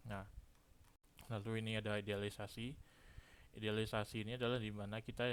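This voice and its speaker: A man in his twenties from Indonesia, a student explaining his class in a halting way. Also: lowish voice, a little hiss or hum. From Pekanbaru, Indonesia. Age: 20s. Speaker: university engineering student. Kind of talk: self-introduction and lecture recap to camera.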